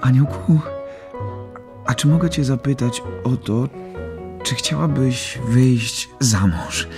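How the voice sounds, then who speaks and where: An actor playing a dazed, lovestruck wounded young soldier in a radio play, halting, naive and dreamy. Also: male voice, medium pitch, music in the background. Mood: shy, hopeful. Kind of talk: children's radio play acting.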